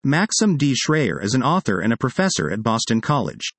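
A man reading a published article aloud in an earnest, measured way, now and then correcting his own pronunciation of place names. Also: mid-range voice, big pitch swings, dry recording. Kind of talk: read-aloud article narration.

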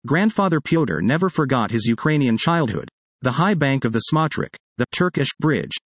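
A man reading a published article aloud in an earnest, measured way, now and then correcting his own pronunciation of place names. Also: medium pitch, dry recording. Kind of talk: read-aloud article narration.

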